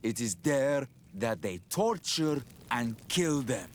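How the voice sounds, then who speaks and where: Stern, slow-spoken rebel chief. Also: male voice, medium pitch, lively intonation, a little hiss or hum. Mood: grave. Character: distrustful male rebel chief, grave and defiant.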